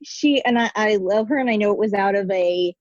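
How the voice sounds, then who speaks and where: A woman's voice: mid-range voice, brisk, darkish tone, no echo. A woman in her late twenties from the United States, a guest speaking candidly and casually in an interview over a video call. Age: 20s. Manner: candid, conversational with frequent restarts. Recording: dull lo-fi remote call sound.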